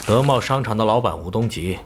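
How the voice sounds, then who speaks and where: A man voicing a genial, wily middle-aged police bureau chief with a folksy, jovial manner in an animated crime drama. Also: on the low side, a little fast, slight background noise, close to an everyday voice. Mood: avuncular. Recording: faint background ambience.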